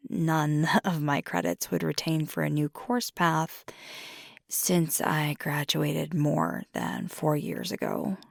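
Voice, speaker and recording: A woman voicing a fiery young werewolf girlfriend in intimate ASMR roleplay, husky and often whispery, confiding earnestly and haltingly. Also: sits low, level tone, dry recording, clean studio sound, heavily acted. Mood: thoughtful, weighing. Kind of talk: intimate ASMR roleplay, soft half-whispered close speech.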